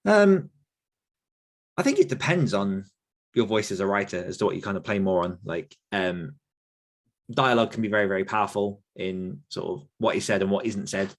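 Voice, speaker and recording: Guy in his thirties, low voice, chatty podcast host who trails off hunting for the right word. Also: lively intonation, moderate pace. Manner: rambling, searching for words with many fillers. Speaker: podcast host and horror author. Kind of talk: casual conversational Q&A.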